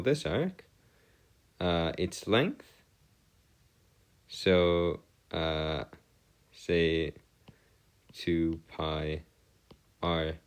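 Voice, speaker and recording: A man giving an explanatory math lesson as a tutor, speaking in formal, wordy phrases and hesitantly correcting himself as he goes. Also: sits low. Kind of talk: semi-improvised explanatory lesson.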